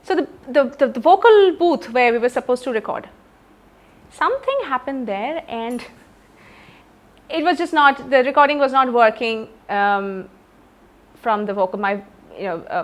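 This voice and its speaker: A woman talks through her career as a film singer. Her voice sits a little high, and her telling is chatty and anecdotal. She stops now and then to search for names. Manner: chatty, anecdotal, many pauses to recall names. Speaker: film playback singer and lyricist. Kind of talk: interview, recounting career.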